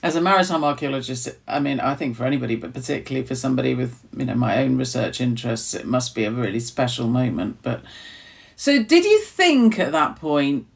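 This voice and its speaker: A woman's voice: a bass voice, expressive, a little fast, creaky, far from the mic. A woman hosting a podcast, a maritime archaeologist asking questions with keen enthusiasm. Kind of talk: podcast interview.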